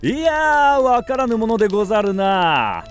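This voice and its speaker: Brash, wildly animated samurai. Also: male voice, high-pitched, moderate pace, clear, resonant tone, soft background music. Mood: jovial, brash. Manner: blunt, archaic warrior speech. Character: brash, blunt, sceptical male samurai companion. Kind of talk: radio drama acting.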